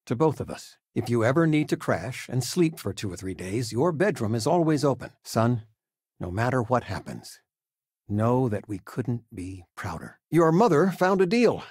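An actor playing an affable, proud older father, a retired teacher in a video game, speaking fondly with gentle wry humor. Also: mid-range voice, very animated, moderate pace, breathy edge, dry recording, clean studio sound. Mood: fond, easygoing. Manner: fond, reassuring, gently joking. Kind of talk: video game character dialogue.

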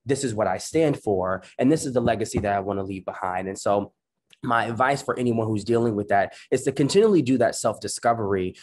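A man's voice: on the low side, fairly quick, a little mellow. Earnest man on a video call. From United States. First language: Spanish. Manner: earnest, many restarts and "right?" tags. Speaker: panelist. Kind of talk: reflective panel discussion. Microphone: webcam on video call.

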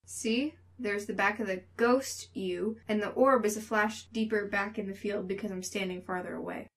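A woman playing a skeptical, exasperated young woman with a dry, sarcastic, sharp-tongued way of talking in an audio story. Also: mid-range voice, steady tone, normal speed, close to an everyday voice.

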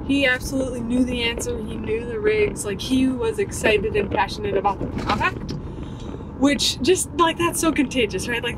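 A woman's voice: fairly high pitch, noisy background. An American woman co-hosting a casual travel vlog, talking in an enthusiastic, explanatory way. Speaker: vlog co-host.

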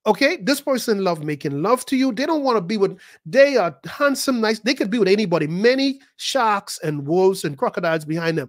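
A man preaching a sermon, his fairly high voice leaping higher and louder when he drives a point home. He repeats words as he builds and acts out the voices of the people he describes. The sound is dry and close. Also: expressive, brisk, few pauses, dry recording. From the Bahamas. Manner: emphatic, rising to high pitch and volume at key points. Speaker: pastor.

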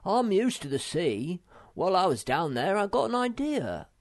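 A woman's voice: on the low side, very animated, moderate pace, no echo, clean studio sound, pitched above the performer's own voice. A woman voicing a cheerful, curious young male troll in a storybook reading, sounding eager.

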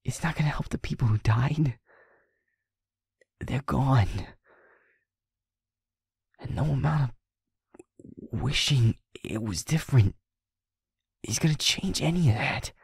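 A man playing a feisty, wounded young werewolf boyfriend in an intimate audio roleplay, breathy and husky, speaking haltingly with heavy pauses. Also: medium pitch, no room sound, exaggerated voice. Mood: anguished, resolute. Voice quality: breathy, husky, often half-whispered.

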